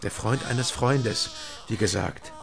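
A man's voice: lowish voice, slow pace, creaky. An actor playing a terse young man, a guarded and insistent former detective, in an understated radio crime drama style. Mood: insistent, probing. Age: 20s. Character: terse, probing young East German ex-detective, reformed drinker. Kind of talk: naturalistic radio crime drama acting, some narration.

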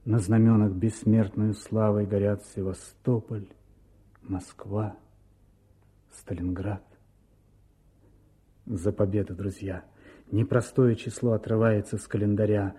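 An actor playing a reflective war veteran narrating to a comrade in a radio drama, measured and solemn, with a husky voice. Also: male voice, on the low side, warm tone, exaggerated voice. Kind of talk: dramatic first-person narration, poetry reading.

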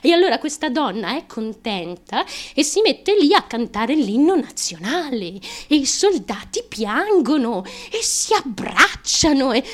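A woman's voice: sits high, very animated, measured pace. A woman performing a first-person theatrical radio monologue, telling her story in breathless run-on sentences. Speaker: actress.

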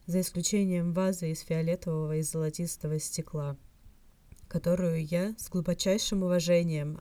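A woman voicing a dignified, sly old male scholar's hologram in a fan fiction reading, formal, measured and soft. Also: lowish voice, dry recording, lightly acted.

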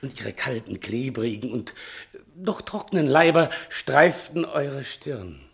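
A man's voice: medium pitch, lively intonation, a little slow, gravelly. An actor playing a frightened, shifty manservant in a German radio play, pleading and hesitant, stumbling over his excuses. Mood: stammering, stalling. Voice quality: stammering, hesitant. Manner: pleading, servile, theatrical. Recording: vintage 1960 radio studio recording.

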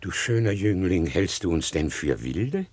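An old hunter and father living in the wild, in a classical radio play. His low voice swings widely as he declaims with hearty, fatherly hospitality. The sound is dry. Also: big pitch swings, a little slow, darkish tone, breathy edge, no room sound, full character voice. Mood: kindly welcoming. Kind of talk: classical radio drama acting.

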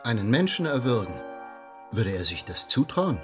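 A man's voice: mid-range voice, very animated, moderate pace, soft background music, lightly acted. An actor playing a blunt, unsentimental male investigator in a baroque-era German radio drama, brusque, probing and officious.

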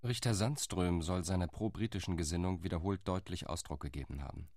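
An actor playing a calculating delegate in a radio play, reporting the news in a cool, matter-of-fact way. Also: male voice, sits low, brisk, a little mellow, dry recording, clean studio sound.

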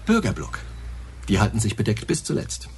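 An actor playing a laconic, matter-of-fact local police officer in a naturalistic radio crime play. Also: male voice, lowish voice, huge pitch swings, normal speed, a little mellow, a little hiss or hum. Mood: wry, laconic.

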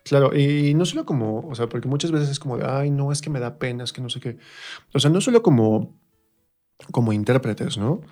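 A middle-aged man with a Mexican accent chatting casually as an interview guest, animated and colloquial, acting out imagined remarks. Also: mid-range voice, brisk. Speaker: guest, stage performer.

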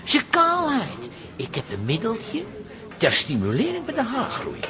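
A man's voice: very high-pitched, wildly swooping pitch, measured pace, a crowd in the background, full character voice. An actor playing an eager, slyly persuasive hairdresser with a Netherlands Dutch accent and a teasing salesman's manner in a radio play. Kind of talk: radio comedy-drama acting. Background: music.

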